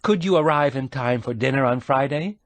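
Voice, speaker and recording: A man voicing an eager, talkative woman who is planning a dinner party, with his pitch lifted. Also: moderate pace, no echo, close to an everyday voice. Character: eager young woman hostess, chatty.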